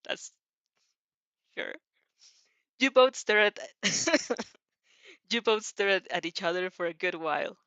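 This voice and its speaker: A woman's voice: mid-range voice, animated, takes it slowly, long pauses, a bit bright, no echo. A woman reading fan fiction as a storyteller with casual reactions, giggly and often stumbling over and rereading phrases.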